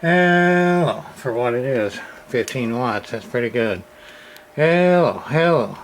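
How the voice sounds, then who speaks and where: A man's voice: medium pitch, expressive, slow pace, noisy background. A man testing and repairing an old radio, muttering test calls and meter readings in casual workbench commentary.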